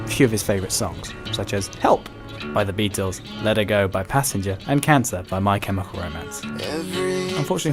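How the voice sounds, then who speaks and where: A man narrates a story from a script. His voice is mid-pitched, and music plays behind him.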